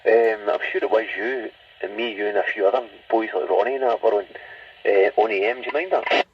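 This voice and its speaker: A man's voice: fairly low pitch, brisk, husky. A Scottish man chatting casually over CB radio in a rambling way, often adding little tag questions. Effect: CB radio transmission. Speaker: CB radio hobbyist. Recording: muffled, distant, faintly noisy CB radio.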